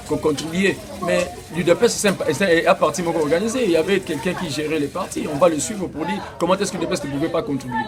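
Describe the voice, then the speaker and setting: A man with a Congolese French accent argues in a fairly high voice. He is insistent and argumentative, hesitating often and then pressing hard on his point. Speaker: interviewee. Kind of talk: heated political debate.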